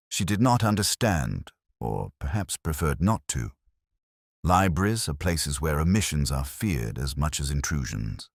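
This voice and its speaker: A man narrating a scripted first-person detective story in a solemn, literary, measured manner. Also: very deep voice, no echo, clean studio sound. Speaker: audio drama narrator.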